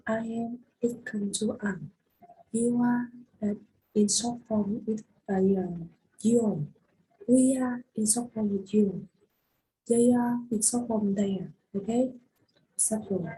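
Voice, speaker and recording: A woman's voice: fairly high pitch, dark tone, a little hiss or hum, poor audio. A young woman in her twenties with a Vietnamese accent, teaching a recorded grammar lesson with many hesitations and fillers. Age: 20s. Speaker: English teacher.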